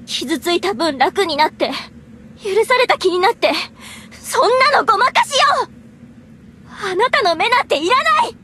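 Very high young girl, anime game, bitter, wounded, accusing, highly animated, noisy background. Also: normal speed, exaggerated voice. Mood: angry, bitter. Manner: emotional, accusing. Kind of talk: Japanese anime game voice acting.

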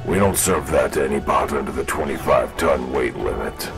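An extremely deep, gravelly voice of a hulking robot bartender. He is gruff and swings widely in tone, as in a sci-fi cartoon, with loud music behind him. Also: lively intonation, moderate pace, exaggerated voice. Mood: gruff, dry. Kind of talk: animated sci-fi character acting.

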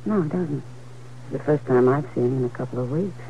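A woman's voice: extremely low pitch, fast, creaky, lo-fi sound. An American actress playing a friendly, worldly frontier saloon woman in a 1950s radio Western. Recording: faintly noisy 1950s radio recording.

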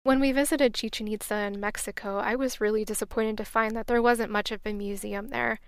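A woman's voice: a little high, steady tone, a little fast, clean tone, no room sound. A woman hosting a podcast, reading scripted travel narration in a reflective, wry, earnest way, on a close mic. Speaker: podcast host.

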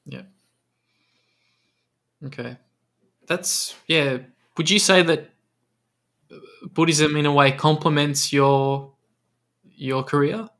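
A man's voice: a little high, fairly even intonation, slow pace, pauses often, a bit bright. A young man hosting an interview, asking casual questions in a hesitant way with many fillers, on a headset in a small room. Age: young adult. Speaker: podcast host.

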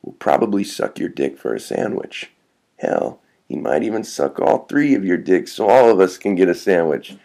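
A man narrator acts out a sardonic drifter with a lively, swinging delivery. He is mouthy and profane, always wry and mocking. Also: low voice, normal speed, vocal fry, exaggerated voice. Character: sardonic, mouthy male vagrant in a story.

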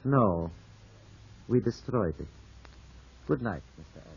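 An actor playing a suave, insinuating gentleman with formal speech and a husky voice in a 1950s radio drama style. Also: on the low side, expressive, unhurried, full character voice. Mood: smoothly insinuating. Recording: muffled old radio recording.

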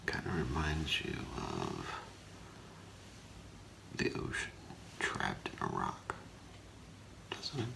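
A middle-aged man doing a whispered ASMR role play as a gemologist, speaking in a hesitant, halting, softly reassuring way. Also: very deep voice, very animated, gravelly, background noise. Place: small indoor room with curtain.

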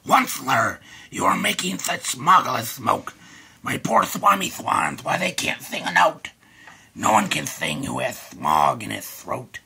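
A man voicing an indignant, scolding old forest creature from a storybook, with an emphatic, croaky delivery. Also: medium pitch, wildly swooping pitch, measured pace, pushed and bright, exaggerated voice. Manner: complaining, emphatic, coughing croak.

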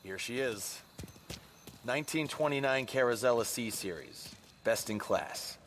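An actor playing a weary, jaded night guard in a video game, grumbling in a laconic way. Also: male voice, medium pitch, animated, measured pace, a bit bright. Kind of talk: cinematic video game dialogue. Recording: clear car and ambient noise.

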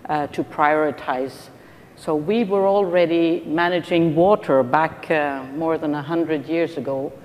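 Slow, pausing Norwegian woman on a panel. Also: a little mellow. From Norway. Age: middle-aged. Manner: deliberate, hesitant with many uh pauses. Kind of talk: panel discussion. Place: large conference hall stage. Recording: reverberant hall, faint rumble.

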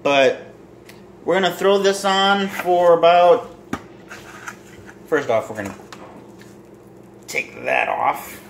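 A man in his twenties casually demonstrating home cooking to camera in a halting, rambling, self-deprecating way. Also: sits high, expressive. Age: 20s. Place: small home kitchen and dining room. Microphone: handheld or propped phone near arm's length. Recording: roomy echo, kitchen noise with sizzling.

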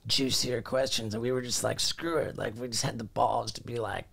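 A man hosting a podcast in casual chat, excitable and swearing, stammering through restarts, talking into a dynamic mic. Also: mid-range voice, brisk, gravelly, husky. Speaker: podcast host. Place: small cruise ship cabin, then home studio. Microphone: handheld dynamic mic, then desk podcast mic.

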